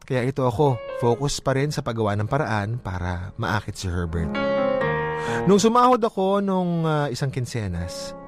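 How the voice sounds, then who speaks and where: A man's voice: mid-range voice, big pitch swings, moderate pace. A man narrating a dramatised first-person story in a chatty, theatrical manner. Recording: prominent music bed under voice.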